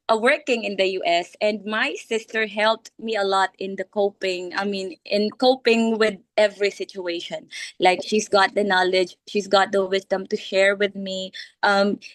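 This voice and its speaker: A woman with a Filipino accent, hoarse from a cold, giving a polite, prepared personal talk with hesitations and self-corrections. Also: mid-range voice, a bit bright, dry recording, low-quality recording. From Philippines. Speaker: teacher and interview guest. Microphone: video call.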